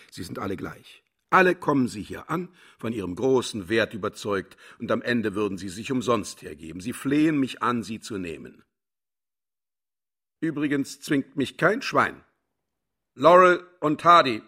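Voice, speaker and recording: A cynical, self-important boss in a satirical radio play. His husky voice swings widely in pitch as he makes grand, theatrical pronouncements. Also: pushed and bright, exaggerated voice. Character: pompous, cynical male slaughterhouse personnel chief posing as philosopher.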